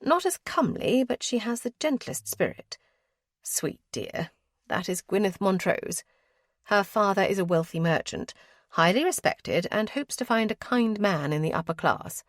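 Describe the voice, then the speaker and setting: A woman's voice: mid-range voice, expressive, moderate pace, dry recording, studio quality, close to an everyday voice. A woman voicing a fussy older aristocratic aunt who is busy matchmaking, speaking in a genteel, coaxing way.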